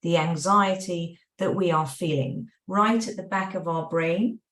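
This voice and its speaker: London woman, lowish voice, explaining warmly, echoey and kinda far off mic. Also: measured pace. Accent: London English. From London, England. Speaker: co-host. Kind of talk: explanatory talk to teens. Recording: roomy sound, a bit off mic.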